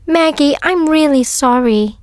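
A woman voicing a shy, lonely young girl in an audiobook story, wording each line clearly and expressively. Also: sits high, measured pace, darkish tone, dry recording, clean studio sound. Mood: apologetic, hopeful. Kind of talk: dramatised audiobook dialogue for learners.

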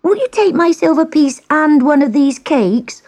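A woman voicing a spoiled, excitable young serving girl in a children's storybook, shrill and insistent. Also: unhurried. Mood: pleading.

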